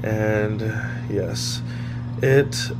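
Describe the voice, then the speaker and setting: Slow-talking man on a couch. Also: lowish voice, loud music behind the voice. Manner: slow, halting, upbeat pep talk. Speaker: vlogger, husband. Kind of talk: casual talk to camera. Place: living room couch, indoors. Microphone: phone camera at arm's length.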